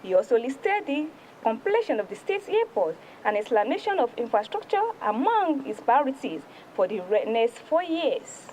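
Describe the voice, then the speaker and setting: A woman with a Nigerian accent presenting a television newspaper review, reading stories aloud in an emphatic, deliberate way. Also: on the high side, big pitch swings, darkish tone, slight background noise, rough recording.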